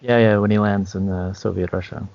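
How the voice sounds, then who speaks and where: A man co-hosting a podcast, talking casually in a halting, measured way with pauses. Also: low-pitched, fairly even intonation, dull audio. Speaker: podcast co-host. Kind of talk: casual chat about tabletop roleplaying and comics.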